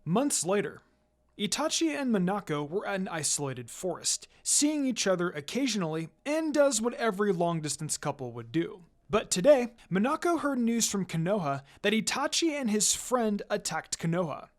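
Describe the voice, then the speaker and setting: Animated reader with lively, swooping pitch. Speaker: fan fiction reader. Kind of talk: scripted narration, story reading.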